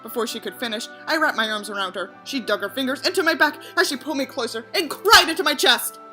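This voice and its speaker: A woman's voice: high-pitched, fast, sharp tone, soft background music. A woman reading a story aloud as a narrator, breaking in with mocking, sarcastic asides and casual swearing. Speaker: audiobook reader and commentator.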